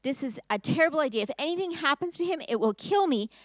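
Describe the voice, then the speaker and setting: A woman's voice: high-pitched, expressive, quick pace, dry recording, pitched above the performer's own voice. A woman doing an anguished old father. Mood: distressed. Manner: distressed, refusing. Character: anguished old father, protective of his sons.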